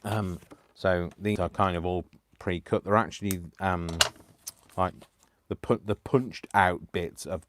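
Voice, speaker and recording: A man hosting a live crafting stream, chatting casually and poking fun at himself, tired and clearing his throat. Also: sits low, steady tone, unhurried, a bit bright, a little hiss or hum. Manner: chatty, self-deprecating, talks to himself. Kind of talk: rambling casual chat while crafting.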